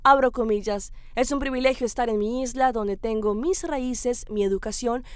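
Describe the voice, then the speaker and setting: A woman's voice: a little high, moderate pace, clear, resonant tone, dry recording. A woman presenting scripted television news as host and reporter.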